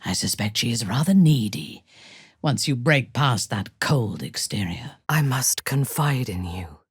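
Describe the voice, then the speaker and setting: A woman playing an imperious, ruthless dark elf warrior noblewoman in a fantasy video game, speaking in a commanding, formal, haughty way with a husky, breathy voice. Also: rumbling low, lively intonation, unhurried, no room sound, clean studio sound, heavily acted. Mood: wry, formal.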